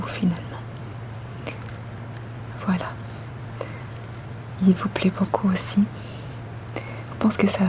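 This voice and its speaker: A woman whispering softly and breathily in an ASMR roleplay as a polite, attentive boutique saleswoman, gentle and unhurried. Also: medium pitch, low-quality recording. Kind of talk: ASMR roleplay, customer service.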